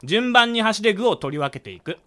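A high, very animated young man, acted by a man in a radio drama. He leads his friends with theatrical announcements and a boisterous, showy temper. The voice is bright and forward. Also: brisk. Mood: excited, commanding. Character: boisterous young man gleefully hosting a dark hot-pot game. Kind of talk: amateur Japanese radio drama acting.

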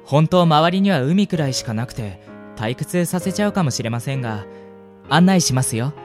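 A man's voice: fairly high pitch, expressive, normal speed, a little mellow, faint music behind, natural voice. A man acting a polite, shy and smitten young college student in a radio drama, speaking and narrating earnestly. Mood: friendly.

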